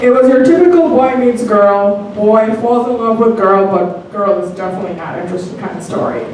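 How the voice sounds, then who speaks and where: A woman's voice: mid-range voice, moderate pace, a little mellow. A woman from Bangladesh telling a personal story on stage, earnest and hesitant with frequent ums. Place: comedy theater stage. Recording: echoey theater from far off.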